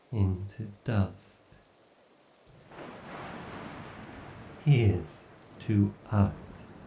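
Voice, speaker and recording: Slow, dark, obsessed AI god. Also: male voice, low-pitched, roomy sound, recorded from a distance, lo-fi sound, slight background noise, heavily acted. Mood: possessive, sadistic. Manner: slow, menacing, theatrical, possessive. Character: obsessed, sadistic, godlike male AI tormenting its captive human. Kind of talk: horror ASMR roleplay acting.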